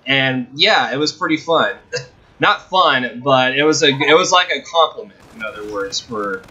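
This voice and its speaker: A young man hosting a video, telling a personal story casually in a halting, rambling, self-correcting way. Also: medium pitch, expressive, sharp tone, muffled recording. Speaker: video host.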